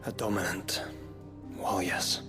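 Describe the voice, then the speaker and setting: An actor playing a stern, brooding warrior hero in a dark fantasy video game, terse and guarded, with a gravelly, husky voice. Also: male voice, deep voice, fairly even intonation, slow. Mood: grim. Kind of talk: understated video game drama. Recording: background music under the voice.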